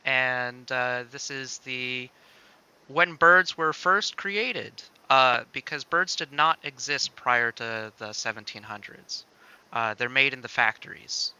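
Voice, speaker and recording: A man's voice: medium pitch, slow pace, pushed and bright, smooth, poor audio. A man co-hosting a casual livestream, bantering in a playful, joking manner. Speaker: stream co-host.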